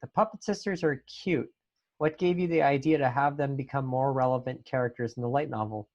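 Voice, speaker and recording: A man's voice: medium pitch, fairly quick, darkish tone. A man hosting a casual interview, reading out questions and chatting, on a dull lo-fi connection. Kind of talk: casual moderated chat.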